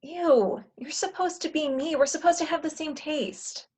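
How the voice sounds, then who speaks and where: Actress as worldly wry woman, blunt, teasing, knowing, fairly high voice, comedic table read. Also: normal speed, a little mellow, natural voice.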